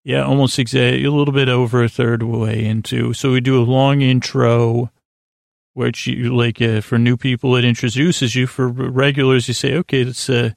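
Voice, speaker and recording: A man hosting a sleep podcast, talking in a soft, lulling, meandering way that stops, restarts and wanders off track. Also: mid-range voice, little pitch movement, moderate pace, creaky, dry recording, clean studio sound. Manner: meandering, self-interrupting, many restarts. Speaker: podcast host. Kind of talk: rambling late-night sleep podcast talk.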